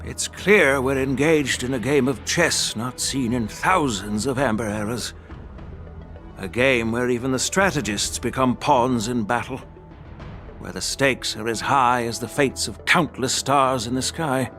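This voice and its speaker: A man playing a venerable elder strategist in a video game, speaking in a formal, deliberate, weighty way. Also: mid-range voice, fairly bright tone, faint music behind, close to an everyday voice. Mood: grave, contemplative. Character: venerable elder statesman, measured, sagely strategist. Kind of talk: scripted video game dialogue.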